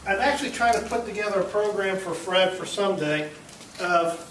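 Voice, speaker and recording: Joking guy talking to a club, high voice, kinda far from the mic. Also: brisk, a bit bright, a little hiss or hum. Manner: wry, joking. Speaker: club presenter. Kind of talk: informal talk to a club. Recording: echoey room, distant mic, crowd murmur.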